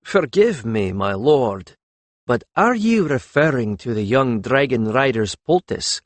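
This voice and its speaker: A man's voice: medium pitch, lively intonation, measured pace, no room sound. A man voicing a sly foreign healer in an audiobook, mock-deferential and ingenuous, with a thickened foreign accent. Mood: mock-innocent. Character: sly male foreign healer, feigning innocence, quietly mischievous. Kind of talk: audiobook character voice.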